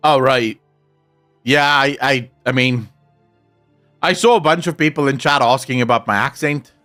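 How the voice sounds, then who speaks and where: A man from South Africa with a soft South African English accent, Afrikaans his first language, chatting casually on a stream and answering chat. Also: medium pitch, measured pace, soft background music. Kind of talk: casual live-stream chat, reading comments.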